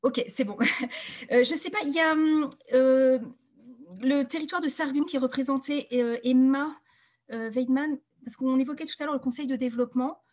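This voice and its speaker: A woman with a fairly high voice moderates an online meeting. She talks informally, in loose, conversational sentences that tend to trail into the next thought. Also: steady tone, moderate pace, a little mellow.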